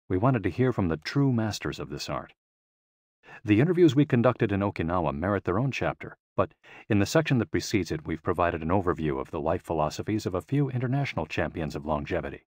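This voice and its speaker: A man reading a scripted audiobook in a measured, clearly enunciated way. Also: sits low, no echo, clean studio sound. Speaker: audiobook narrator.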